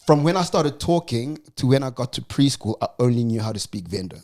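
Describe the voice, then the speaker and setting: Young man, from South Africa, gravelly voice, mid-pitched, relaxed slangy podcast banter. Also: fairly quick. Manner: laid-back, laughs often, slangy. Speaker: podcast co-host. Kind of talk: casual banter.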